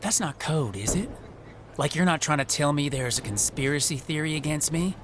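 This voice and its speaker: A man's voice: fairly high pitch, expressive, moderate pace, natural voice. A man playing an anxious, paranoid, sulking young wrestler in a video game, whiny and insistent. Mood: suspicious. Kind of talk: video game cutscene dialogue. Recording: faint background noise.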